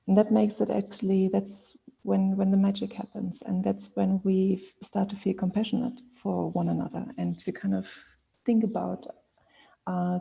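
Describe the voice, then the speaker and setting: A woman speaking on an online panel, thoughtful and pausing often to search for words. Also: mid-range voice, vocal fry, dark tone, breathy edge. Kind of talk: panel discussion.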